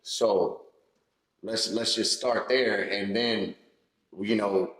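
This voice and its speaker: A man's voice: on the low side, steady tone, extremely slow, a bit bright. A man hosting a podcast in casual chat, affirming his guest and restating what he means. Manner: affirming, sums up and rephrases the guest. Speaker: podcast host. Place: podcast studio room. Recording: roomy studio echo.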